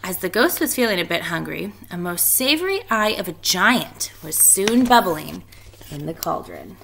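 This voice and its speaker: Animated, bright-voiced woman reading aloud. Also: lowish voice, normal speed, gravelly. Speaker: storybook reader. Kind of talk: expressive read-aloud narration.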